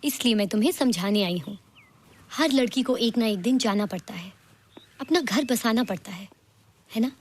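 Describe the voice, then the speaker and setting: A patient, high-voiced young woman. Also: expressive, normal speed, long pauses, darkish tone. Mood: gently explaining. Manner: patient, explanatory. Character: gentle, sensible young woman advising a childlike friend. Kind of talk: Hindi film drama dialogue.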